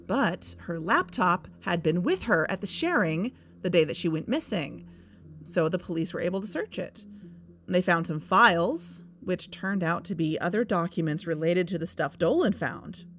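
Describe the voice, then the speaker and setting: A woman's voice: on the low side, lively intonation, moderate pace, slight background noise, close to an everyday voice. A woman playing a wry, earnest investigator who co-hosts a podcast, narrating in a conversational, confiding way. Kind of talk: conversational scripted audio drama narration. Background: background music.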